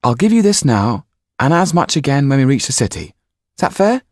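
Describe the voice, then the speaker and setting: A man's voice: mid-range voice, big pitch swings, fairly quick, darkish tone, no echo, studio quality, pitched above the performer's own voice. A man voicing a young street urchin in an audiobook, polite and coaxing.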